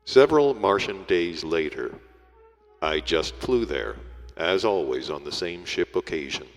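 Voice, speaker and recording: A man reading a literary story aloud as an audiobook narrator, in a measured, formal storytelling delivery. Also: low voice, animated. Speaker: audiobook reader. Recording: echoey hall reverb, music behind.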